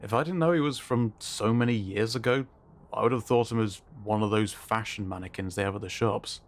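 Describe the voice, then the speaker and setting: Man playing low-voiced, jittery wry friend. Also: fairly quick, natural voice. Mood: wry, confiding. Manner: hesitant, casual, dryly joking. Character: jittery, easily spooked, wry male friend. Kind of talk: scripted ASMR sci-fi roleplay.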